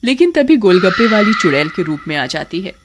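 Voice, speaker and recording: A woman's voice: mid-range voice, big pitch swings, brisk, noisy background. A woman narrator reading a scripted story in a dramatic storytelling style. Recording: music behind the voice.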